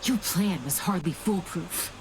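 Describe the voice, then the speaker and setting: A woman's voice: fairly low pitch, unhurried, soft background music, natural voice, rough recording. An actress playing a bold young woman warrior in a video game, speaking bluntly and firmly in confrontation. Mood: firm, reproachful.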